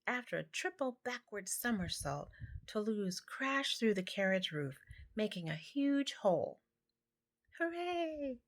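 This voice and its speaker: A woman's voice: medium pitch, expressive, unhurried. A woman reading a children's picture book aloud, stumbling now and then over words. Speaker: amateur storybook reader.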